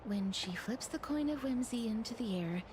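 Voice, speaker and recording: A woman acts out a gentle young game heroine with a fairly high voice. She speaks earnestly and in measured phrases, over clear background noise. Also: expressive, natural voice. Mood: earnest, concerned. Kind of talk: video game character acting.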